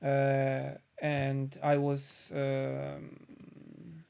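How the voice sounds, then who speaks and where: Slow, musing man with a Polish accent. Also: mid-range voice, monotone, vocal fry, darkish tone, clear, resonant tone. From Poland. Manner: unhurried, thinking aloud, many uh pauses. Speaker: painter streaming a live demo. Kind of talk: casual live-stream commentary while painting.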